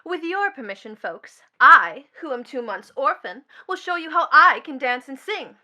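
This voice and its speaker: An actress playing a brazen, scornful young stepdaughter in a radio stage play, theatrical and mocking, with sudden swells and drops. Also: female voice, sits high, sing-song, moderate pace, sharp tone, full character voice. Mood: teasing, flamboyant.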